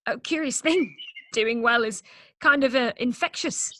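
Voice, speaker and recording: A British actress reading a breezy, blunt artist and loyal best friend in a table read over a video call. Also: female voice, a little high, a little slow, a bit bright, dry recording, studio quality. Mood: breezy, wry.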